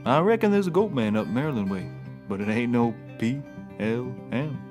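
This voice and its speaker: Male actor as rustic comic detective: mid-range voice, homespun storytelling, clear musical backing. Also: medium pitch, animated, normal speed, music in the background, natural voice. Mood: spooky, folksy. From Kentucky (the figure). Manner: folksy country phrasing. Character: folksy, eager Kentucky country-boy private eye. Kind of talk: comedic audio drama storytelling.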